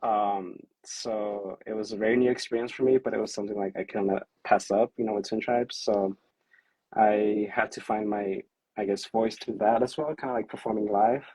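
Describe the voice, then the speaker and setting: A man on a livestream video call, chatting casually and hesitantly with many fillers, with a fairly monotone voice. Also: lowish voice, moderate pace, slightly rough, dry recording, poor audio. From California. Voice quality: self-described monotone. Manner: hesitant, many fillers, self-described awkward and anxious. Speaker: musician on a livestream chat.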